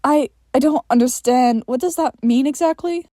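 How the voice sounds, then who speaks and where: A woman's voice: high voice, normal speed, mellow, dry recording, studio quality, voice pushed up, natural voice. A woman voicing a wary, exasperated man in an audio story, stammering and irritable. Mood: bewildered. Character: wary, exasperated, flustered man named John.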